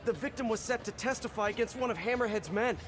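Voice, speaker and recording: An actor playing an earnest young superhero in a video game, thinking aloud in a wry, worried way. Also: male voice, extremely high pitch, fast. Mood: musing. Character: young male web-slinging hero, earnest. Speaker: game protagonist. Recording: game audio with music.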